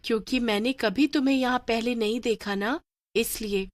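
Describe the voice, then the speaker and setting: A woman voicing a strange, playful young village girl who coaxes others, in an animated horror story. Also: fairly high pitch, normal speed, no echo, clean studio sound, natural voice. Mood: inviting.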